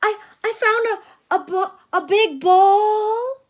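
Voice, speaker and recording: A woman voicing a small, excitable female pig who is easily upset, wailing and tearful. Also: extremely high pitch, slow pace, pitched above the performer's own voice. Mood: eager.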